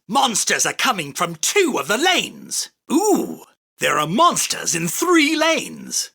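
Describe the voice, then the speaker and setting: A man voicing an arrogant, theatrical, self-proclaimed king of card duels in an anime game, with a husky, forceful, declamatory delivery. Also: sits high, full character voice. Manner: pompous, boastful, declamatory. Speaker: voice actor. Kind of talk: anime game character battle lines.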